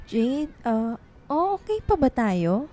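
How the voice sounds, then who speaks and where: A woman's voice: sits high, big pitch swings, slow, dark tone, heavily acted. An actress playing a weary, heartbroken young writer and girlfriend in a Tagalog radio drama. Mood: tentative.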